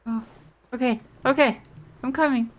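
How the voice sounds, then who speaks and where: A woman giving casual, playful and expressive commentary as she streams a game. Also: sits high, normal speed.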